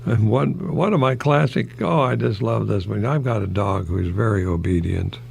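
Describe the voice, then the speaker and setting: An older American man, a retired professor, giving a folksy, rambling radio monologue in a gravelly, husky voice with pauses mid-phrase. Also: on the low side, a little mellow, slight background noise. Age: older, retired, 60s or above. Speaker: retired English professor hosting a radio program.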